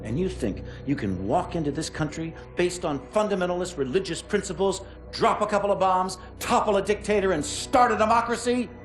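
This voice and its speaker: A man's voice: sits high, expressive, brisk, hardly any pauses, faint music behind. An actor with an American accent playing a cynical, authoritarian man of power in a video game, lecturing in an emphatic, folksy way. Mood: exasperated. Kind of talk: dramatic video game monologue.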